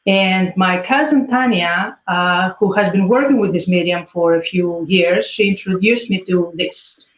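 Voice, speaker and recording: A woman from Greece with a Greek accent, speaking English as a second language in an interview, pausing often with fillers. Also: fairly low pitch, reverberant, low-quality recording. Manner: hesitant, many fillers. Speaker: guest artist. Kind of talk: interview answers.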